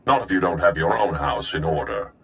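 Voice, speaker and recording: A cold villain lord from a science-fiction game. The voice is very deep, with dramatic rises and falls. He speaks formally, with imperious menace. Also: big pitch swings, brisk, creaky, a bit bright, exaggerated voice. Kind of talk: video game voice acting.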